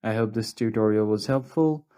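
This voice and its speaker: Mellow-voiced man narrating a tutorial. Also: lowish voice, normal speed, clean tone. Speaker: tutorial host.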